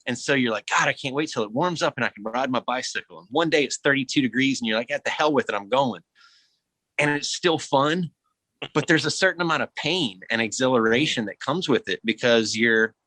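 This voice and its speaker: A man speaking as a guest actor in a reflective podcast conversation, earnest and expressive. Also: mid-range voice, dry recording, low-quality recording.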